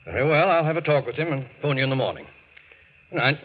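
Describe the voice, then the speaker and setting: An actor in 1940s radio style playing a stern, brisk, commanding doctor. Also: male voice, mid-range voice, very animated, close to an everyday voice. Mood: concerned, measured. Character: firm, stern, no-nonsense male doctor. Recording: muffled, noisy old radio broadcast.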